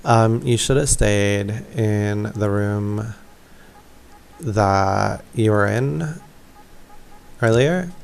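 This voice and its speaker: A man's voice: on the low side, drawn-out words, creaky, long pauses, a little hiss or hum. A young man giving casual, offhand, self-deprecating gameplay commentary. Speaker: gaming video creator.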